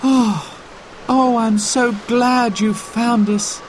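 A man voicing a brave, excited young boy in a storybook reading. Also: very high-pitched, moderate pace, slight background noise, voice pushed up. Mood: relieved. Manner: urgent, excited exclamations.

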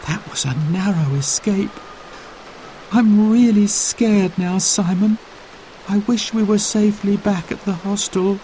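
A man's voice: high voice, a little slow, warm tone, a little hiss or hum, voice pushed up, low-quality recording. A man voicing a frightened young girl in a storybook reading. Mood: scared. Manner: anxious, pleading.

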